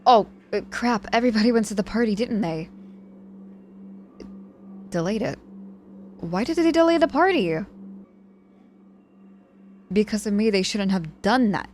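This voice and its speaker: A woman acting a proud, guarded young witch hurt in hospital, halting and grudgingly tender, in an intimate ASMR audio roleplay. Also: medium pitch, lively intonation, darkish tone, a little hiss or hum, close to an everyday voice. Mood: flustered, insistent.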